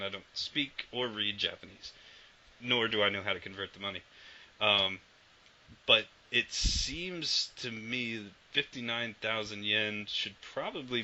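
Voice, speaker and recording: A man's voice: fairly low pitch, sharp tone. A man co-hosting a podcast in casual chat, rambling and swearing casually. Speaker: podcast co-host. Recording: dull lo-fi podcast audio with faint noise.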